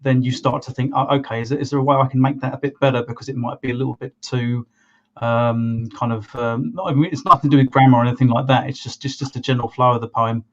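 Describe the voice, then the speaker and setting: A man's voice: medium pitch, fairly even intonation, fast talker, highs cut off. A man co-hosting a podcast, talking casually with lots of hesitations and restarts. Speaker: podcast co-host. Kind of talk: casual conversation.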